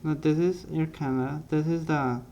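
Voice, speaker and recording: A young man casually narrating his life story over drawings, halting often and correcting himself as he goes. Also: a little high, fairly even intonation, creaky, dark tone, slight background noise. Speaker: vlogger.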